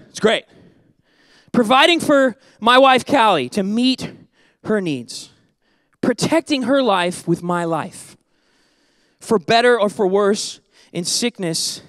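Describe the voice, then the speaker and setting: Man preaching, high voice, dry close sound, handheld mic, animated and jokey, church stage. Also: no room sound. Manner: animated, jokey, shifts to soft earnest tones. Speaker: pastor. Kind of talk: sermon.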